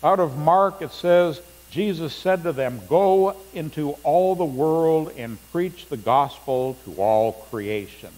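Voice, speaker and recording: A man's voice: on the high side, lively intonation, takes it slowly, few pauses, darkish tone. A middle-aged male pastor preaching a sermon in a church sanctuary, reading scripture aloud with rising emphasis. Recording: long church reverb, faint hum.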